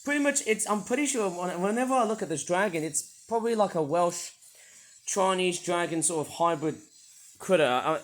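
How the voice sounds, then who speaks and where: British male toy reviewer, high croaky voice, rambly and enthusiastic, lots of "uh"s. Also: a bit bright. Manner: rambling, enthusiastic, many hesitations.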